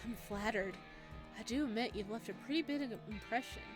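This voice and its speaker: A woman's voice: fairly high pitch, fairly quick, music in the background, close to an everyday voice. A woman voicing a friendly, puzzled visual novel protagonist in a casual, placating way. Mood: gently comforting.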